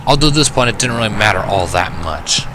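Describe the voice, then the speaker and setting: A man's voice: fairly low pitch, expressive, quick pace, noisy background. A man reading first-person fiction as a dramatic narration, with a wry, sardonic delivery. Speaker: fan fiction reader. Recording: music behind the voice. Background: music.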